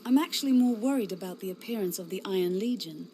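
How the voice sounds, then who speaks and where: A woman's voice: mid-range voice, lively intonation, quick pace, mellow, a little hiss or hum, close to an everyday voice. A woman voicing a young, zealous female inquisitor in a game cutscene, speaking in an earnest, formal and fervent way. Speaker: narrator and game character.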